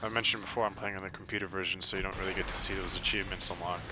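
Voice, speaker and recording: A man giving casual, halting, explanatory commentary for a video game walkthrough, with game music behind him. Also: sits low, fairly even intonation.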